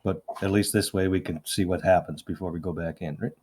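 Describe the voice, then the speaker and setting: A man's voice: sits low, fairly even intonation, a little fast, darkish tone, slight background noise. A man playing a tabletop game, talking in a casual, loose way that drops the odd swear. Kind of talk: in-character roleplay chat.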